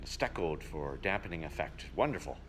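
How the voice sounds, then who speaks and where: A man's voice: rumbling low, expressive, normal speed, fairly bright tone, a little hiss or hum. A man who entertains as a touring singer and show host, chatting casually in a folksy way as in a travel vlog or between songs.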